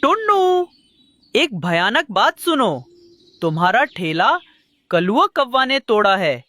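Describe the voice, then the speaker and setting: A woman's voice: on the high side, sing-song, slow, bright, forceful tone, no room sound, full character voice. A woman voicing a loyal, urgent, indignant parrot in a children's cartoon. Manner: urgent, emphatic. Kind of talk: Hindi kids' cartoon dialogue.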